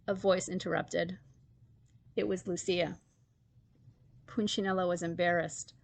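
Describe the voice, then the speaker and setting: A woman storyteller giving an expressive reading of a children's story. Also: lowish voice, measured pace, gravelly.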